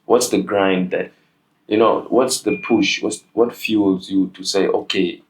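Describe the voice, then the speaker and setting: A man's voice: sits low, fairly even intonation, unhurried, rough recording. A young man hosting a casual interview, talking in a hesitant way with many fillers. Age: young adult. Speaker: host.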